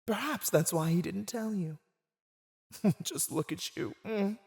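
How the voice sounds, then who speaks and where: Slow, silver-tongued teasing villain. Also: male voice, high voice, gravelly, a little mellow, exaggerated voice. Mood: cold, persuasive, then amused, taunting. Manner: teasing, mocking, theatrical, drawn-out delivery with chuckles. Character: silver-tongued male villain warlord taunting a captive. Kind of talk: ASMR roleplay, scripted villain monologue.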